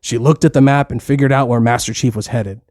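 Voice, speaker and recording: A man's voice: medium pitch, fairly even intonation, fairly quick, darkish tone, dry recording. Man narrating a story in a dry, close recording. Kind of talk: scripted children's story narration.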